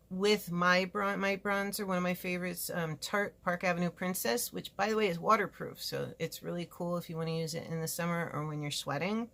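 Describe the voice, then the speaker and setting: Chatty woman with a gravelly creak. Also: fairly low pitch, a bit bright. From California, USA. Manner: chatty, frank and rambling, with asides. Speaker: beauty vlogger reviewing makeup. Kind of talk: casual product review chat. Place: home studio with a fireplace.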